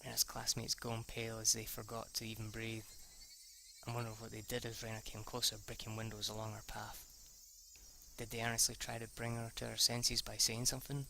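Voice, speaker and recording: A man reading a visual novel aloud as an amateur, haltingly, stumbling and correcting himself with the odd swear word as an aside. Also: lowish voice, completely flat delivery, creaky, sharp tone, slight background noise. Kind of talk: amateur read-through of a story.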